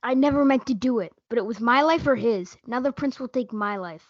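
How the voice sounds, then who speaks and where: A passionate, hot-blooded young lover played by a young woman in a stage play reading. The voice is fairly high and close, with little room sound, on a dull, lo-fi recording. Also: brisk, dry recording, lightly acted. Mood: anguished. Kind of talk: student readers theatre performance. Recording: muffled lo-fi home recording.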